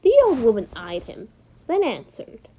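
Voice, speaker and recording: A woman's voice: a little high, sing-song, normal speed, warm tone, slightly rough. A woman reading scripted story narration as a student volunteer in readers theater.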